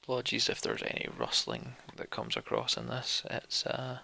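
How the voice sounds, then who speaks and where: A man's voice: medium pitch, sing-song, moderate pace, creaky, sharp tone. A man narrating a video game, reading its story text aloud and adding casual, muttered asides. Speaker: let's play narrator.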